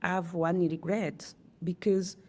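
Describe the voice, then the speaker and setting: A woman's voice: on the low side, little pitch movement, a little slow, warm tone. A woman, a teacher researcher and guest editor, talking earnestly and reflectively about her project in an interview. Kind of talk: academic interview.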